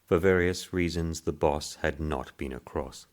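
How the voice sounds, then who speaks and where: A man narrating a literary short story in a measured, deliberate way. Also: rumbling low. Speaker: story reader.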